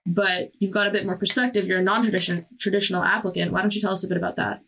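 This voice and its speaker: A woman's voice: medium pitch, steady tone, very fast, fairly bright tone, slightly rough, low-quality recording. A woman hosting an interview, talking in a casual, encouraging and affirming way. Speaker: podcast host interviewing applicants.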